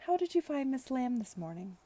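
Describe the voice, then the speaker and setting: A woman voicing a gentle, calm married woman. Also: sits high, a little fast, voice pushed up. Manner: soft, calm.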